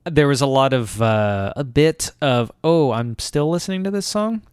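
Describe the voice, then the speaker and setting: A man's voice: mid-range voice, normal speed, clear, resonant tone, dry recording, studio quality. A young man co-hosting a music podcast, chatting casually and musing through his thoughts with asides. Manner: relaxed, musing, trails off into asides. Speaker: podcast co-host.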